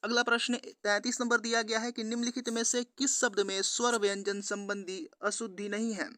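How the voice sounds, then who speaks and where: High, bright-voiced man teaching. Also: dry recording, clean studio sound. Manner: repetitive, instructional, addressing viewers. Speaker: exam-prep teacher on a study channel. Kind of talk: scripted quiz lesson narration, reading questions and answers.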